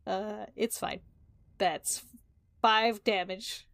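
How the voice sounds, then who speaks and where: A woman's voice: medium pitch, fairly even intonation, drawn-out words, creaky, a bit bright, dry recording. A woman playing a tabletop game, casually describing her moves.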